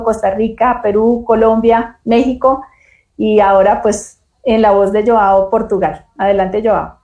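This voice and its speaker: A woman's voice: on the high side, a little mellow, reverberant. A woman moderating a panel, thanking speakers and introducing the next one in a friendly, fluent way.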